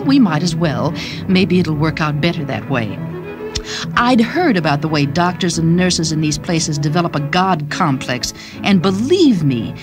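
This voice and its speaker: Gushing, confiding young mother, lowish register, radio drama monologue over music. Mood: confiding, weary. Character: doting, chatty young mother writing letters.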